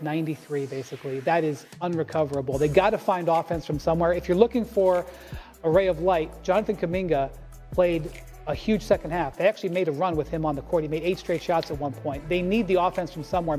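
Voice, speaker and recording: A man lays out a brisk, analytical sports breakdown. His voice is notably high for a man. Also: a little mellow, faint music behind.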